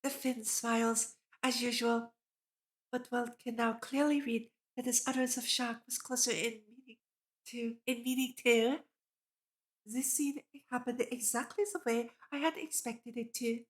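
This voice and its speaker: A woman's voice: on the high side, little pitch movement, measured pace. A woman streamer reading a visual novel aloud, narrating and reacting as she goes. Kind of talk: livestream read-aloud with character voices and reactions.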